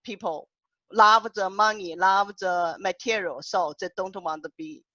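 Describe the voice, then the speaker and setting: A woman's voice: mid-range voice, fairly even intonation, measured pace, pushed and bright, no echo. Woman with a heavy accent, dull call audio. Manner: heavy non-native accent, searching for words. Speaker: translator and co-host. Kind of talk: casual online panel discussion. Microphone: video call.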